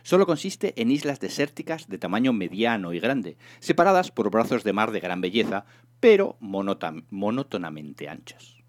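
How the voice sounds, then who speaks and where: A man with an accent from Spain, co-hosting and reading on a radio show in a colloquial, jokey way. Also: fairly low pitch. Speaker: radio show co-host and reader. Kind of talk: casual scripted-radio chat, then novel reading. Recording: music behind the voice. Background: music.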